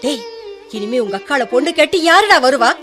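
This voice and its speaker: A worried, reproachful mother in a radio play. Her voice is high and swings widely as she admonishes, with light music underneath. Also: a little fast. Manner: expressive, admonishing. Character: worried, reproachful mother of a hot-headed son. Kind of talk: Tamil radio drama acting. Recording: faint music bed under the voice.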